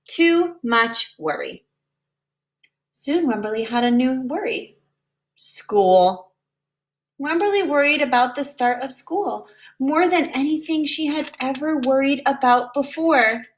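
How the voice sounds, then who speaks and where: A woman reading a children's picture book aloud in an expressive, deliberate way, like a preschool teacher. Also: high-pitched. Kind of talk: children's storybook read-aloud.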